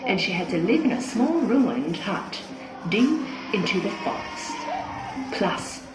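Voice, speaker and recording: A woman narrating a scripted children's story in an expressive, dramatic manner. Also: mid-range voice, takes it slowly. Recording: echoey with music behind.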